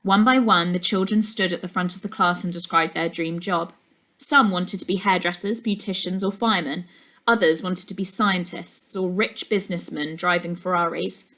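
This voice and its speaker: A woman teacher reading aloud and chatting to her class in an everyday mid-pitched voice. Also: fairly even intonation, normal speed, a bit bright. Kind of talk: animated storybook read-aloud with plain chat after.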